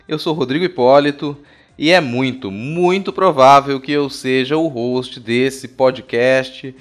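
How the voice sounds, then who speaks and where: A Brazilian man hosting a podcast, talking informally with a wry, irreverent manner. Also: medium pitch. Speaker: podcast host.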